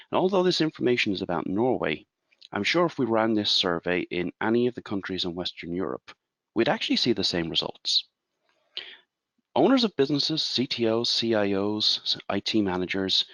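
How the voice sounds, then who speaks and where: An Irish man in his forties presents a webinar as a consultant, explaining in a measured way and asking rhetorical questions. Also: low-pitched, fairly bright tone, no room sound. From Ireland.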